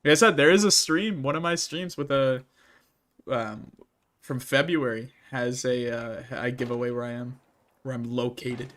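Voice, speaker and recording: A man's voice: medium pitch, fairly even intonation, normal speed, fairly bright tone. A man from the Midwestern United States with a Midwestern American accent, streaming a game and casually answering chat messages with frequent laughter. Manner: reads chat messages aloud and answers them, laughing often. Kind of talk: casual livestream chat while gaming.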